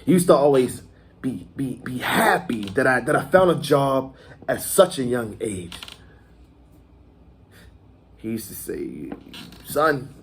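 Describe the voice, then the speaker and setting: Guy playing a cocky gangster looking back on his youth, stumbles over words on purpose, swears hard, mid pitch, bit of background noise. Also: animated. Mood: wistful, confiding. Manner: halting, repeats words, emphatic swearing. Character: swaggering nostalgic male gangster recalling his youth, foul-mouthed. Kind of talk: dramatic film monologue performance.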